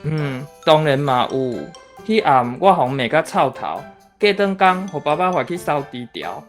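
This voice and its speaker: A man's voice: fairly high pitch, animated, measured pace, faint music behind. A man with a Taiwanese accent playing a good-humoured, self-justifying older brother in a radio drama. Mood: teasing, aggrieved. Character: good-humoured, aggrieved adult brother reminiscing.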